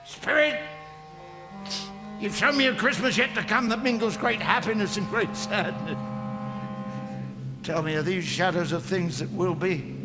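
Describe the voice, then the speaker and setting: A high, slow-spoken old miser in a musical radio play. He is theatrical, fearful and humbled, given to halting speech, with loud music underneath. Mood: sombre, anxious. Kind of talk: musical radio drama acting. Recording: long hall reverb, loud music underneath.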